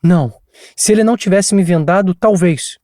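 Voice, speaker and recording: A man's voice: high-pitched, moderate pace, dark tone, no room sound, clean studio sound, pitched above the performer's own voice, lightly acted. A man doing an exhausted, frightened woman. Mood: shaken. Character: exhausted traumatized woman, witness recounting abduction.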